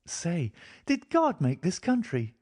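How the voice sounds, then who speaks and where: Male narrator putting on a small frontier girl, high sing-song kid voice, big swoops, plain folksy talk. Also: huge pitch swings, slow, darkish tone, slightly rough, no echo, studio quality, exaggerated voice. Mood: eager. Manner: rustic dialect, high childlike lilt. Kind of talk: audiobook character voice.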